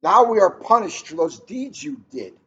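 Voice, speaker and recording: A man's voice: high voice, sing-song, moderate pace, sharp tone, breathy edge, voice pushed up, heavily acted. A man voicing a sorrowful, reproachful farmer's wife and mother from a storybook, in a plaintive, dramatic way. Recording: noisy, dull lo-fi recording.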